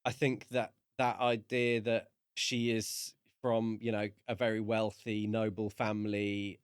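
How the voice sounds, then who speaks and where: A man co-hosting a podcast, discussing a book casually in halting, thoughtful phrases. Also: fairly low pitch, little pitch movement, smooth, dry recording, studio quality. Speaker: podcast co-host.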